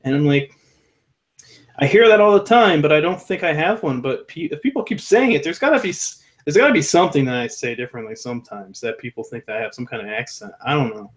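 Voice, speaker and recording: A man co-hosting a casual panel chat, easygoing and hesitant, with many pauses and restarts. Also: fairly high pitch, lively intonation. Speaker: panel co-host.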